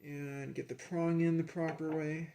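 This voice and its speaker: Halting man with a creaky voice. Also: a little high, level tone, mellow, a little hiss or hum. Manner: halting, muttering to himself while handling parts. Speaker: hobbyist presenter. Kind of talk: casual hands-on vlog narration while working.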